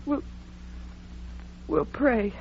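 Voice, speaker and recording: A woman's voice: a little high, lively intonation, very slow, gravelly, heavily acted. An actress playing a frightened, distraught young woman in an old-time radio horror drama, pleading and breathless in a melodramatic way. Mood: anxious pleading. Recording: muffled, lightly noisy old radio recording.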